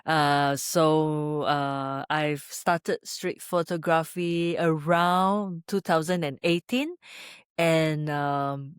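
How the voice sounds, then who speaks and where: A middle-aged woman with a Singaporean accent, talking about herself as an interview guest, haltingly and often searching for her words. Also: low-pitched, fairly even intonation, smooth, no echo, studio quality. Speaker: podcast guest, street photographer. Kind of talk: conversational interview, personal storytelling. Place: indoors in a gallery.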